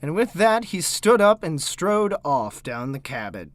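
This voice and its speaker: A man playing an earnest gentleman hero in an audio drama, speaking in a dramatic, declamatory way. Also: a little high, sing-song, measured pace, noisy background. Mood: dramatic narration. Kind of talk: audio drama acting and narration.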